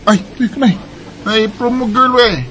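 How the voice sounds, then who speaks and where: Guy playing a cheeky cebuano barkada, high voice, always joking around. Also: moderate pace, a bit bright, a little hiss or hum, rough recording. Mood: playful.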